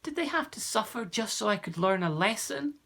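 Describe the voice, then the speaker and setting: A man voicing an earnest young boy hero who speaks up in a higher voice. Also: very animated, brisk, creaky, sharp tone, heavily acted. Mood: upset.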